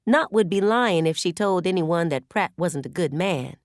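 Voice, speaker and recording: A woman reading a novel aloud as an audiobook narrator, with expressive storytelling delivery. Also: fairly low pitch, normal speed, no room sound, studio quality. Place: dry recording studio.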